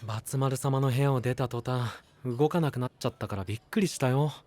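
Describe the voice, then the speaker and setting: A man's voice: medium pitch, fairly quick, mellow, close to an everyday voice. A man playing a friendly, curious, easygoing young knight with casual, frank phrasing in an anime-style audio drama. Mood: friendly, reflective.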